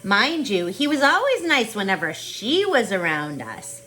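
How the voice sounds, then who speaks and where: A woman with a bright, forward voice teaches children over a video call. She moves between casual, encouraging chat and expressive storybook reading. Some room noise sits behind her. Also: mid-range voice, measured pace. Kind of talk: online storybook read-aloud with casual chat.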